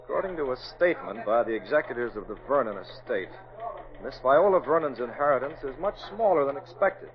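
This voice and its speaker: Man acting a suave, animated young publisher. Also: mid-range voice, fairly quick, crowd noise, close to an everyday voice. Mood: pondering. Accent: American. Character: suave young newspaper publisher, secret vigilante. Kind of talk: 1940s radio drama acting. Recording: muffled, hissy vintage 1940s radio recording.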